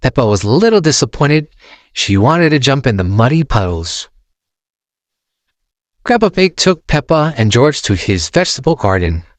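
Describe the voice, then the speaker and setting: A man narrating a children's storybook aloud in an expressive, sing-song way, close to the mic. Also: mid-range voice, normal speed, no echo.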